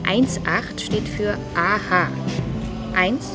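A woman's voice: mid-range voice, slow, natural voice. An actress playing a frank, informal, chatty woman friend in a conversational radio drama style. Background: music.

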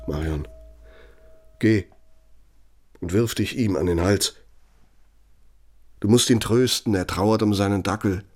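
An actor playing a cynical, bitter middle-aged architect and old Nazi functionary in a German radio play, gravelly, with a pointed, self-justifying delivery. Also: male voice, sits low. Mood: bitter, sardonic. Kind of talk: German radio play acting, dramatic monologue.

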